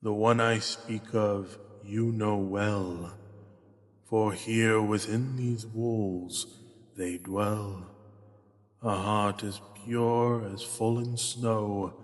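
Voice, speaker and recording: Very slow, reverberant magic mirror spirit voiced by a man. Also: lowish voice, steady tone. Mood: grave. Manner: solemn, archaic, measured. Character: solemn enslaved magic mirror spirit, servile and truthful. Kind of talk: rhyming fantasy audio roleplay. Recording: long cavernous reverb.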